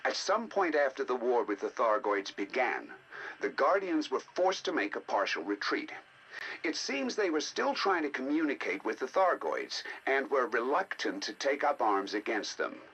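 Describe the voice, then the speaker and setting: An actor playing a scholarly, intrigued scientist who narrates scripted game audio logs in a deliberate, theatrical, musing way. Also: male voice, mid-range voice, very animated, a bit bright, highs cut off, slight background noise. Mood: intrigued, explanatory. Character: scholarly male scientist, intrigued by ancient alien data. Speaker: researcher narrating decoded alien history logs.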